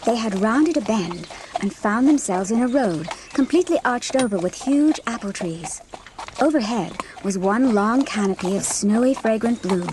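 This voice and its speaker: A woman's voice: a little high, lively intonation, normal speed, background noise. A woman narrating a dramatised audiobook from a script, telling the story in literary prose.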